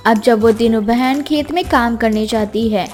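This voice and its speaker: A woman's voice: on the high side, normal speed, darkish tone. A woman narrating a scripted children's fairy tale as storyteller. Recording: music behind the voice.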